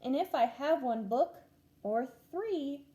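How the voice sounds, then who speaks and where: A woman reads as a thoughtful little schoolboy in a children's storybook. He speaks earnestly and simply, in a fairly high, mellow voice with lively pitch. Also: takes it slowly. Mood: careful reciting. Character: sincere, thoughtful first-grade schoolboy.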